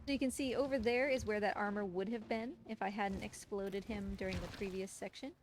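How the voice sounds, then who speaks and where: A woman's voice: mid-range voice, steady tone, fairly quick, slight background noise. A woman giving casual, chatty live commentary as she speedruns a video game, explaining things with a playful touch. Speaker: speedrunner commentating own playthrough. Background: game music behind the voice.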